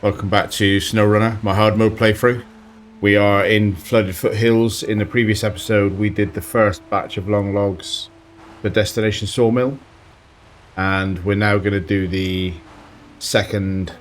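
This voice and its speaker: A man with a slight sore throat giving relaxed commentary while playing a driving game, thinking aloud as he goes. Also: on the low side, a little hiss or hum. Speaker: let's play host. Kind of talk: casual gameplay commentary.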